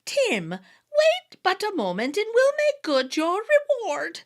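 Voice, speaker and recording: A woman voicing a sly little fairy leader, a small man with a high, sing-song voice. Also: huge pitch swings, takes it slowly, heavily acted.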